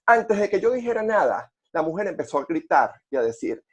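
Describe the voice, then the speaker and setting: Venezuelan male, slightly high register, conversational podcast storyteller who circles back over words as he goes. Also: big pitch swings, breathy edge. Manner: halting, repeats words while searching for phrasing. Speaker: podcast co-host.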